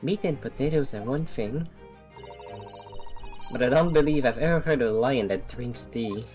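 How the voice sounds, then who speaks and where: A man voicing a courteous, earnest young female assistant with a measured, gently teasing manner in a game fan dub. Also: medium pitch, faint music behind, natural voice. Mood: earnest, questioning.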